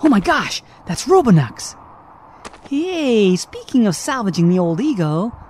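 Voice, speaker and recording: A man's voice: high voice, sing-song, unhurried, darkish tone, slight background noise. A man playing a cocky, restless young hedgehog hero with casual, slangy delivery in an amateur radio drama. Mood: excited.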